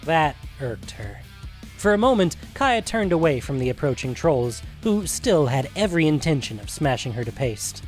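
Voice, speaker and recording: A man's voice: a little high, sing-song, normal speed, faint music behind. A man reading scripted narration for a fantasy adventure story.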